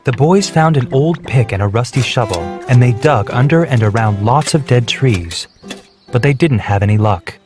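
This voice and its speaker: Steady male children's story narrator. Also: medium pitch, normal speed. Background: background music.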